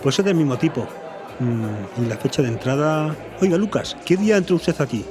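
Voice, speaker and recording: Un hombre interpretando a un aventurero entusiasta e insistente en una ficción sonora. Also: male voice, a little high, expressive, moderate pace, music in the background, crowd noise, close to an everyday voice. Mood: urgent. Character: eager, insistent male adventurer. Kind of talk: audio drama acting. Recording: noisy urban soundscape behind.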